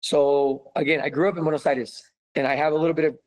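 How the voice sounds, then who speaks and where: A man's voice: on the high side, quick pace, rough recording. Chatty young American man telling stories. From United States. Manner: animated, many fillers, laughs easily. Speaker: guest, former footballer. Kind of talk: casual storytelling.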